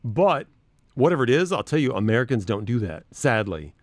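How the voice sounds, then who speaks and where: A man's voice: medium pitch, big pitch swings, fairly quick, no echo. A man hosting a radio show, chatting casually in a studio, laughing easily and telling stories.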